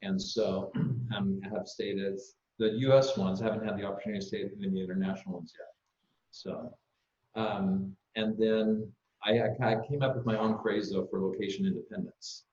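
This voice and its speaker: Low-voiced middle-aged American man, echoey. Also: fairly even intonation, normal speed, a little mellow. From Kansas, United States. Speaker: panelist, business strategy consultant. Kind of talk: casual self-introduction on a panel. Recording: echoey, dull online call audio.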